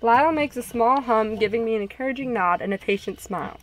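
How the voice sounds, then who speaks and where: A woman's voice: a little high, quick pace, slight background noise, natural voice, low-quality recording. A woman voicing the earnest first-person narrator of a visual novel, reading expressively.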